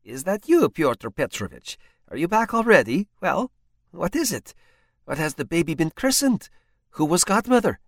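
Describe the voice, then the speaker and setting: A man voicing a shrill, stingy spinster housekeeper who scolds in rapid-fire bursts. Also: very animated, dry recording, clean studio sound. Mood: fussy questioning. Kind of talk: audiobook character voice.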